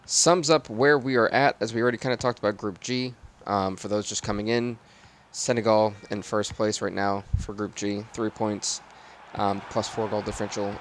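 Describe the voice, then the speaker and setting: Raspy male football game streamer. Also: on the low side, slight background noise. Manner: play-by-play sportscaster phrasing. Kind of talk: live football game commentary and stream chat.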